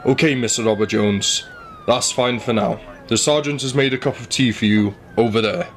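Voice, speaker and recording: A man's voice: mid-range voice, normal speed, a little hiss or hum, natural voice. A man playing a curt, irritable detective inspector in a radio play, dismissive and used to being in charge. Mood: businesslike. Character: brusque, irritable male police detective inspector.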